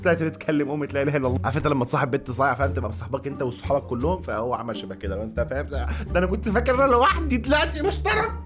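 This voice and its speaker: A man's voice: medium pitch, sing-song, racing, few pauses. A young Egyptian man doing a casual, slangy, comedic film recap, with joking, mocking asides aimed familiarly at his listeners. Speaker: movie recap narrator. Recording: voice-over with background music. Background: music.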